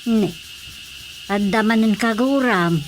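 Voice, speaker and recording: Higher-voiced, questioning Ilocano village woman. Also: slow pace, a little hiss or hum. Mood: matter-of-fact. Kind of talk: radio comedy drama acting.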